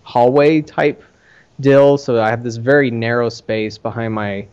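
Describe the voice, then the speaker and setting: A man hosting a podcast, chatting casually in a rambling, halting way about his own business. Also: medium pitch, fairly even intonation, darkish tone, clear, resonant tone, highs cut off. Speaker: podcast host and arcade owner.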